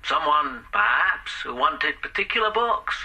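Matter-of-fact male detective, husky, higher voice, dry delivery. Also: very animated, a little slow, sharp tone, heavily acted, rough recording. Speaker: police officer.

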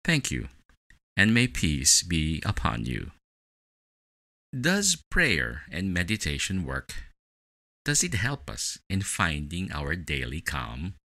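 A man with a deep voice narrates as a meditation podcast host. His delivery is scripted and deliberate, with a bright, forceful edge and lively rises and falls in pitch.

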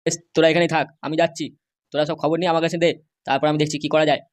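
A man's voice: fairly high pitch, little pitch movement, racing, natural voice. A man voicing a vengeful, boastful gangster politician who swears through a comedy cartoon. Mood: commanding. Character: vengeful foul-mouthed male gangster politician, the big boss.

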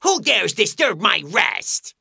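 A woman's voice: medium pitch, very animated, slow, gravelly, bright, forceful tone, breathy edge, dry recording, studio quality, exaggerated voice. A woman voicing a drowsy, theatrical shark in a children's cartoon, startled but helpful. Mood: startled, then helpful. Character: sleepy, grumpy shark disturbed from rest, then helpful.

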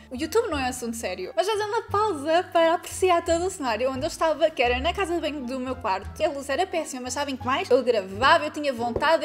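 A young woman with a European Portuguese accent, reacting to her own old video with teasing, self-mocking asides. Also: very high-pitched. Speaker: beauty vlogger. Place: indoor studio with pink backdrop.

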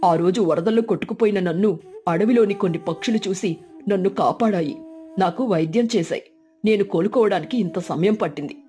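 Cartoon father bird, female performer, mellow timbre, earnest and protective, music underneath. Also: mid-range voice, a little fast, lightly acted. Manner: earnest, firm. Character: firm, protective father sparrow, dutiful family man.

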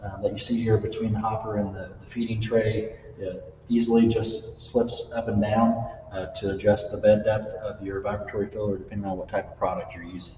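A young man giving a casual live product demo, halting with many ums and uhs. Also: fairly low pitch, flat delivery, warm tone, a little hiss or hum. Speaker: product demonstrator. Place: large trade-show style hall, indoors. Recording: distant echoey hall, dull sound.

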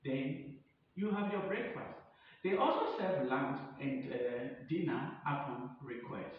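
A man's voice: lively intonation, measured pace, lightly acted. A man in his thirties playing a chatty, eager receptionist recommending a place in a promotional skit, recorded in a tiled room. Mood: eager, persuasive. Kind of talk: acted promotional dialogue. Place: indoors, tiled front room. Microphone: distant camera or phone across the room. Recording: echoey room, distant dull mic, rumbling noise.

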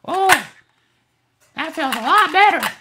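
Little crocodile puppet, high-pitched, slow, timid, eager, sweet, childlike, noisy recording. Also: male voice, expressive, heavily acted. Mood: relieved, grateful.

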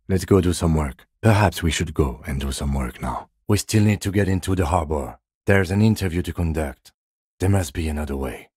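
Tired deadpan cop for a video game, super deep, husky, restrained and formal. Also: male voice, no room sound, clean studio sound. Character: weary, dry, by-the-book male police detective.

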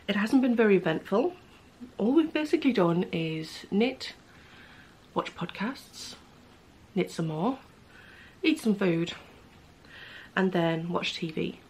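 Knitting lady chatting to her camera at home, bit croaky, lots of ums. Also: medium pitch. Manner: chatty, rambling with many ums. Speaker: knitting vlogger. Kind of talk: casual chat to camera. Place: living room at home, indoors. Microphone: camera close in front.

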